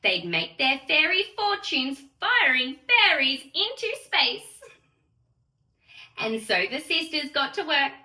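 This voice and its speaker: A very bright, high-voiced woman reads a children's bedtime story at an unhurried pace. Her delivery is theatrical and sing-song, addressed straight to little listeners, in an echoey room. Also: very animated. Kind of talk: expressive bedtime story read-aloud for small children. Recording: roomy echo, distant microphone.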